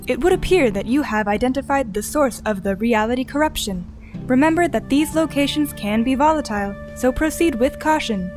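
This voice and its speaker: A woman playing a calm, formal guide entity, speaking in measured, precise phrases in an audio drama. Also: high voice, soft background music, close to an everyday voice. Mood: calm, procedural. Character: calm, formal artificial guide assisting an explorer. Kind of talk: audio drama mission briefing.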